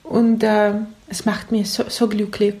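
A woman's voice: medium pitch, little pitch movement, unhurried, warm tone. A woman who is not a native German speaker, with a foreign accent, giving reflective interview answers in careful, searching phrases. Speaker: interview guest.

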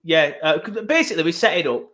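Chatty podcast host, higher voice. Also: male voice, big pitch swings, fast talker, a bit bright. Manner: chatty, rambling with many ums. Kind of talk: casual football podcast chat. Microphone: laptop setup, video podcast.

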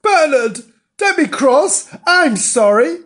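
A sheepish young puppy dog from a children's storybook, acted out by a man. He pleads earnestly and slowly, his pitch rising and falling widely. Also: lively intonation, darkish tone. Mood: pleading.

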